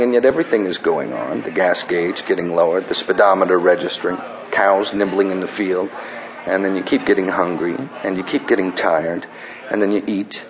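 An actor playing a wry, dry-witted ballplayer who also narrates, in deadpan, formal phrasing. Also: male voice, on the low side, dull audio, a little hiss or hum. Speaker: narrator and character. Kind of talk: radio drama acting.

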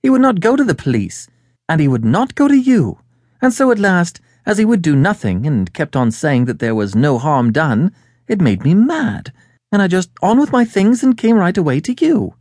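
A nervous young Englishwoman from a classic storybook, acted out by a man. The voice sits high and swoops in a lively way. She is good-humoured but excitable, gushing along in long, indignant, chatty sentences. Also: normal speed, no echo, clean studio sound.

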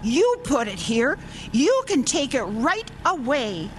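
High-pitched, haughty old widow. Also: female voice, big pitch swings, slow pace, a little hiss or hum, full character voice. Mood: outraged, demanding. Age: older. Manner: imperious, demanding, easily affronted. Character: haughty, indignant old widowed mother-in-law and grandmother. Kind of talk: radio drama acting.

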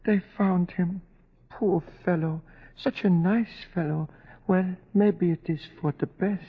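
An actor playing a fretful, obsequious Egyptian innkeeper, stammering and pleading in a radio drama. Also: male voice, high-pitched, fairly even intonation, takes it slowly. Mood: anxious. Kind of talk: 1940s radio drama acting. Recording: muffled, faintly hissy old radio recording.

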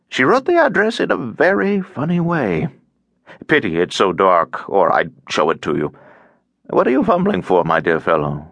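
A man's voice: sits low, a bit bright, no room sound, full character voice. A man voicing a cheerful, tiresome, garrulous elderly Russian émigré in an audiobook, droning on with over-familiar chattiness. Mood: jolly, fussy. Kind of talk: audiobook character acting.

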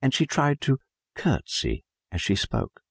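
A man narrating a children's storybook as a scripted audiobook, in an expressive storytelling manner. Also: mid-range voice, a little slow, gravelly, husky, dry recording, studio quality.

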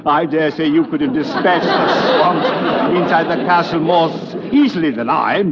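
An actor playing a boastful, bumbling prince with a husky voice and pompous, old-fashioned upper-class phrasing, in a storybook record. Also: male voice, on the high side, moderate pace, highs cut off, over a noisy crowd, heavy background noise, lightly acted. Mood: blustering.

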